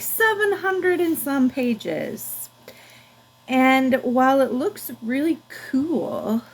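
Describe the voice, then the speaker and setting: A woman's voice: high voice, rough recording. A woman book vlogger chatting casually to camera in informal, slangy language.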